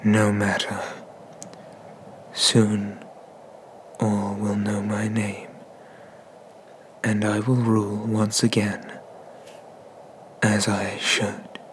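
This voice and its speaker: A man voicing an ancient, haughty and menacing dragon god of the sea in a breathy, pompous whisper for an ASMR roleplay. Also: lowish voice, monotone, slight background noise, low-quality recording. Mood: brooding, imperious. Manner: slow, pompous, condescending, with drawn-out pauses.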